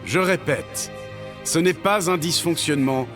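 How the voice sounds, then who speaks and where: A solemn, godlike game master acted by a man addresses trapped players in an anime. His voice is fairly high for a man, with music clearly behind it. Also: a little slow, natural voice. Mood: cold, solemn. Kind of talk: dubbed anime announcement. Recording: prominent music under the voice.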